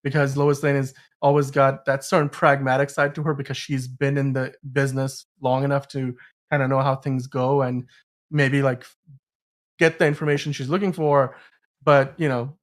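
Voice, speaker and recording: A man's voice: medium pitch, flat delivery, moderate pace. A man co-hosting a podcast, talking about film and TV in a casual, enthusiastic, rambling way. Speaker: podcast co-host.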